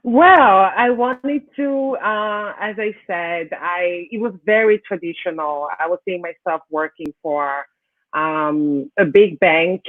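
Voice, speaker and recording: A woman's voice: lowish voice, slow, dry recording. A woman from Cameroon answering interview questions conversationally, as a guest. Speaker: interview guest, financial services professional. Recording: narrow, thin call-like sound.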